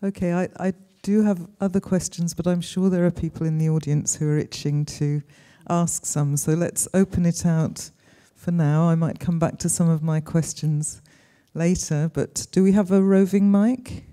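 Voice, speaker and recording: An older woman moderating a film Q&A on a cinema stage, articulate and measured, speaking into a handheld mic. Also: on the low side, steady tone, dark tone. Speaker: interviewer, moderator, professor. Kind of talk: moderating a post-screening Q&A.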